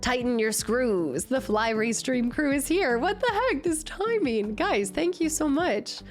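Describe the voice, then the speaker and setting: A woman streamer chatting casually with her viewers, her voice nasal and raspy from a cold, bubbly and excitable. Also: high-pitched, unhurried, faint music behind. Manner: bubbly, excitable, greets chat often. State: recovering from a cold. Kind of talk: casual live-stream chat.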